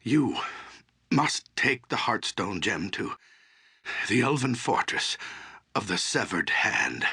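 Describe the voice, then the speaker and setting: An actor playing an aged, solemn druid in a fantasy game, with a husky, breathy voice and a halting delivery. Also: male voice, fairly low pitch, very animated, sharp tone, heavily acted. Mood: weak, dying.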